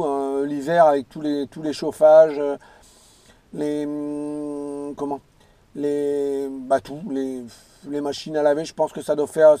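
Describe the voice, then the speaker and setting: Higher-voiced man, radio operator. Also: dark tone, no room sound. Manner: repetitive, emphatic, rambling. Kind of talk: casual radio contact chat.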